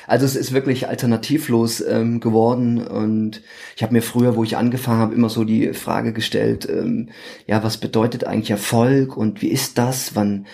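A man in his forties with a Thuringian German accent, a podcast guest chatting casually and haltingly with many fillers and restarts. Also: medium pitch, level tone, gravelly, mellow. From eastern Germany. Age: 40s. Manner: hesitant, many ums and restarts. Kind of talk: casual interview chat.

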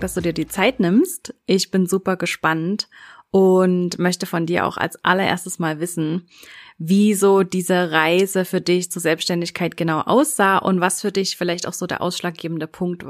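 A woman's voice: lowish voice, steady tone, normal speed, faint music behind. A woman hosting an interview podcast, speaking in scripted intros and addressing the listener or guest directly. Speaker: podcast host.

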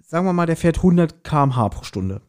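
Man, slightly high voice, podcast co-host, casual chat, chatty storytelling manner. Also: very animated, a little fast, mellow. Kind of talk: casual chat recapping an audio drama episode.

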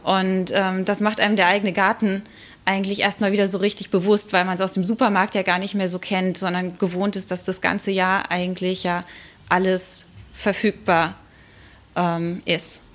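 A woman with a mid-pitched voice gives explanations as an expert guest on a podcast. She talks in a measured manner, pausing now and then to find the next word. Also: level tone. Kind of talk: explanatory podcast interview talk.

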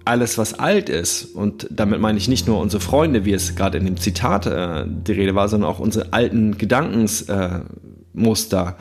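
A man hosting a self-help podcast, speaking calmly and thoughtfully with frequent fillers while he has a cold and coughs now and then. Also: lowish voice, moderate pace, slightly rough, faint music behind. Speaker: podcast host.

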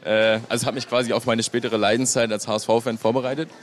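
A man in his twenties, a guest telling stories with a fast, ironic delivery. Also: mid-range voice, level tone. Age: 20s.

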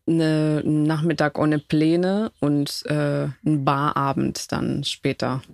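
Unhurried woman from Ecuador. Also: sits low, little pitch movement, vocal fry, a little mellow, dry recording, clean studio sound. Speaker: podcast guest. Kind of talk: casual chat. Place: inside a camper van.

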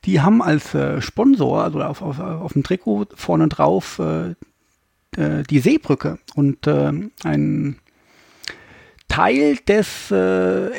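Man, podcast host, heavily creaky and husky, casual chat, colloquial wording. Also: high voice, darkish tone, dry recording, clean studio sound. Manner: colloquial, informal.

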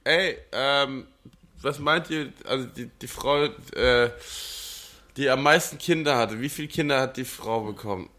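A man talking as a podcast co-host in relaxed, informal banter with friends. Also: medium pitch, unhurried. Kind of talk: casual banter among friends.

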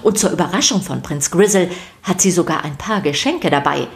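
Woman, lowish pitch, scripted children's podcast narration, expressive storyteller. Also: brisk.

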